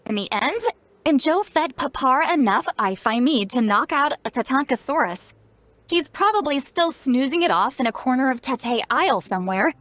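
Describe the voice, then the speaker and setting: A woman's voice: high-pitched, expressive, moderate pace, fairly bright tone. A woman voicing a spry, youthful-sounding self-styled granny in a video game, playful, knowing and caring. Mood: caring, informative. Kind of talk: scripted video game dialogue. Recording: game music behind. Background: game music.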